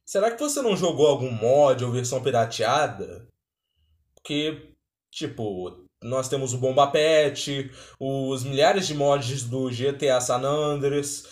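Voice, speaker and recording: A man's voice: medium pitch, expressive, measured pace. A man with a Brazilian accent giving casual, conversational reaction commentary as the host of a video. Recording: roomy, echoey sound.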